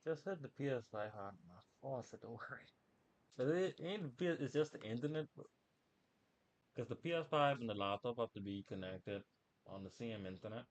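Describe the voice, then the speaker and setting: A man's voice: mid-range voice, lively intonation, fairly quick, rough recording. A man live streaming a video game, chatting informally and ramblingly and reading dialogue aloud.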